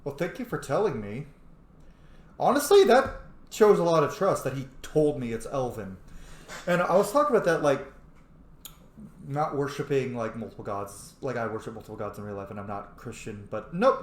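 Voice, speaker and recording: A man giving casual roleplay commentary over a video game, chatty, rambling and informal. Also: mid-range voice. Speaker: gaming host. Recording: roomy, echoing voice recording.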